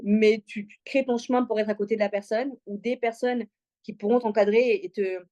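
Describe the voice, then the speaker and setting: A woman's voice: mid-range voice, steady tone, normal speed, dark tone. Casting: chatty female podcast guest, mid-range voice, casual and slangy delivery. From Congo, moved to Belgium aged six. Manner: talkative, informal, slangy. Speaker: interview guest, comedian. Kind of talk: casual personal storytelling in an interview.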